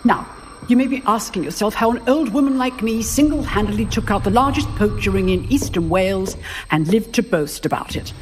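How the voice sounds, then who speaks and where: A woman playing a battle-hardened old magic professor in a video game, lecturing drily and briskly in a British accent. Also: mid-range voice, lively intonation, slight background noise, lightly acted. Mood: wry, proud. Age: older. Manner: dry, brisk, authoritative lecturing. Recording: long hall reverb, faint music.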